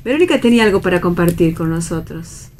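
A woman's voice: fairly low pitch, animated, quick pace, darkish tone, a little hiss or hum. A woman with an Argentine accent hosting a radio programme in conversational talk. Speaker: radio host.

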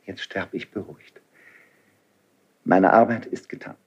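Doomed idealistic nobleman, husky, low-pitched, declamatory, solemn, fervent, 1970s radio play verse. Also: unhurried, muffled recording, full character voice. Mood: calm resignation. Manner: declamatory, solemn, emphatic delivery. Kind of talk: 1970s German radio drama, classical stage verse.

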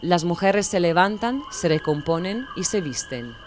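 Calm, measured woman narrator. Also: lowish voice, noisy background. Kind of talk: audiobook reading.

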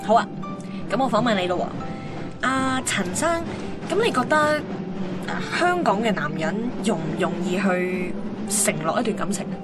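A woman's voice: medium pitch, animated, normal speed, lightly acted. Actress as a restless young Hong Kong woman, music behind. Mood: playful, probing. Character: young woman radio host, teasing, restless, wistful. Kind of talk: naturalistic radio drama acting.

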